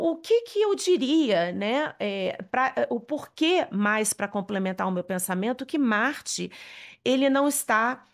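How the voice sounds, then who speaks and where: Brazilian woman explaining with frequent "né?". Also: a little high. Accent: Brazilian Portuguese. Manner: frequent "né?" tags, restarts, mixes English words. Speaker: astrologer. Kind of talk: casual explanatory talk.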